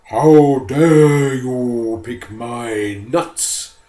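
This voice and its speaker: A man's voice: dark tone, roomy sound. A man voicing a huge, menacing talking bear in a storybook reading, drawn out and growling. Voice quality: slow, booming, growling.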